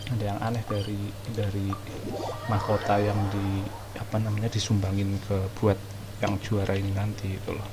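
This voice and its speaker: A young man with an Indonesian accent giving casual, offhand commentary on a game playthrough. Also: fairly low pitch. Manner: colloquial, offhand reactions. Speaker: gaming streamer. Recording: clear game noise behind. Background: game sound.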